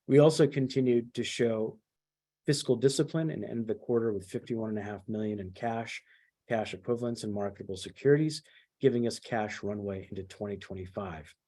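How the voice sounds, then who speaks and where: Male company leader, lowish soft-edged dark voice, delivering prepared business updates on a conference call. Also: fairly quick. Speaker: company executive leading an earnings call.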